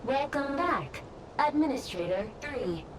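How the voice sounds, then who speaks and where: A woman's voice: sits high, expressive, slow pace, background noise. A woman voicing a formal service robot in a video game, giving clipped, procedural system announcements. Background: loud music.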